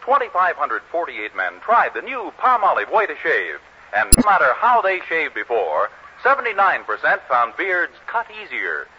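A man's voice: a little high, pushed and bright, slightly rough. An American man announcing and narrating from a script in 1940s radio style. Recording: muffled noisy 1940s radio recording.

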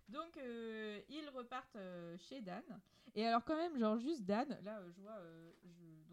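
Young woman chatting about a movie, lots of euhs and pauses. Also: mid-range voice, slight background noise. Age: young adult. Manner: retells scenes with frequent hesitations. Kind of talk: casual film discussion.

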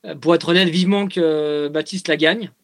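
Joking man, slightly high voice. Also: fairly even intonation, normal speed, a bit bright. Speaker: trail team manager, guest. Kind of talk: casual podcast interview.

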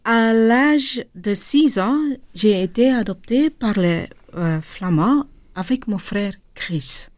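Slow, hesitant woman with a Flemish accent. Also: medium pitch, animated, a little mellow, no echo. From India, raised in Flanders. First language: Dutch. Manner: hesitant, searching for words, many ums. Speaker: guest, charity director. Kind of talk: radio interview.

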